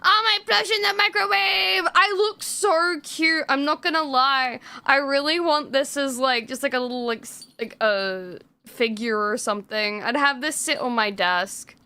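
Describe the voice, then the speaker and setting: A woman's voice: high voice, unhurried, hardly any pauses, pushed and bright, dry recording. A young woman streamer chatting casually with viewers, swearing freely and laughing often. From Australia.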